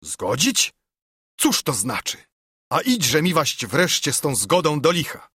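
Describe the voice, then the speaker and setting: A man acts out a stubborn country judge in a storybook told in verse. He is hot-tempered and indignant, blustering in exclamations. The voice is slightly rough-edged and the sound is dry. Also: mid-range voice, lively intonation, a little slow, a bit bright, dry recording. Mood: furious, defiant. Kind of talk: dramatised audiobook reading of verse.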